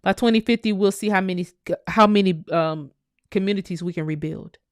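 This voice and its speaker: Casting: fervent woman commentator, mid-pitched, recorded dry and close. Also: fairly quick, no room sound. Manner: fervent, preachy, repeats phrases, swears freely. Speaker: commentary channel host. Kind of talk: impassioned commentary monologue.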